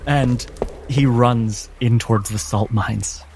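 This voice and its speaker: A man acting as game master, narrating a tabletop game with dramatic, deliberate phrasing. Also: mid-range voice, steady tone, a little mellow. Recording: music behind the voice. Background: background music.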